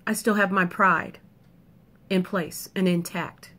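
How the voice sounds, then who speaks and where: A woman's voice: on the low side, steady tone, slow pace, sharp tone. A woman delivering an emphatic, punchy opinion monologue to camera, repeating points for effect. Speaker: video commentator.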